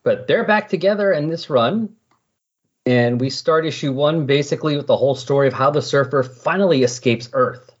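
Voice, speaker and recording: A middle-aged man with a slightly husky voice hosting a casual comic book discussion in a chatty, explanatory way. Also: mid-range voice, normal speed. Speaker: podcast host. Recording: dull lo-fi voice recording.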